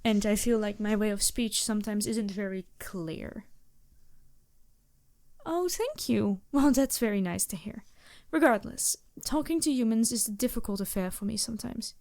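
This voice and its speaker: A woman voicing an empathetic, teasing demon princess in an ASMR roleplay, speaking to the listener in formal, measured phrases. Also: medium pitch, animated, darkish tone, natural voice. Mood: gracious, flirty.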